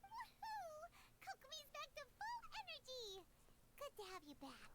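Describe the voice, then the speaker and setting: A woman's voice: big pitch swings, a little slow, a bit bright, exaggerated voice. A woman voicing a small, chirpy, childlike fairy companion in an anime game. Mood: excited. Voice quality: squeaky, childlike. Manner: chirpy, exclaiming, blunt. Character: small excitable female fairy companion speaking in third person.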